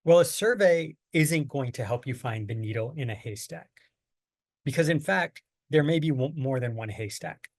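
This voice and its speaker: A man's voice: medium pitch, lively intonation, normal speed, dry recording, clean studio sound. A man from the United States presenting a training lesson on survey design, explaining patiently with plain everyday examples. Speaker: workshop presenter. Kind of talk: online training lecture.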